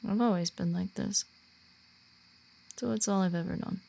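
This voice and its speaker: A woman playing a shy, earnest werewolf who guides a newcomer in a roleplay audio, speaking softly and haltingly. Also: medium pitch, vocal fry. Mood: flustered, awkward. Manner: hesitant, halting, awkward when personal. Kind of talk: roleplay audio drama, softly spoken to the listener.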